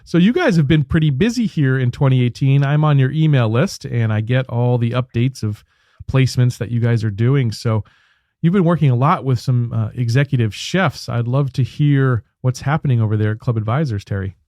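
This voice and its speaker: A man hosting a radio interview show, chatting casually and warmly with his guest, with fillers and restarts. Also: mid-range voice, brisk, no echo, studio quality.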